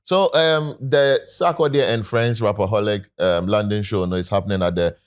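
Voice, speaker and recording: A man's voice: lowish voice, moderate pace, smooth. A man with a Ghanaian accent hosting a talk show, presenting stories in a casual, chatty way.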